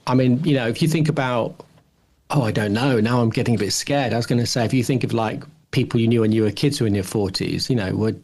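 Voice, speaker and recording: A man's voice: mid-range voice, quick pace, a little mellow. A man in his forties co-hosting a podcast, casually rambling through his opinions and thinking aloud with frequent false starts. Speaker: podcast co-host.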